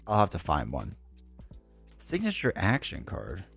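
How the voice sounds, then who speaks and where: A stuffed-up man with a cold talks on and on in a loose, chatty ramble, his fairly low voice swinging up and down with lots of life. He drifts from aside to aside, filling gaps with ums and uhs as he sets up a board game on a live stream. Soft music plays faintly underneath. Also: huge pitch swings, measured pace, vocal fry. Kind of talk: casual live-stream chat.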